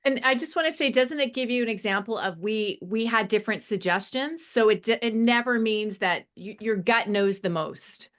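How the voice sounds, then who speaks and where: A woman's voice: a little high, normal speed, few pauses. A woman story editor offering casual advice in a group discussion.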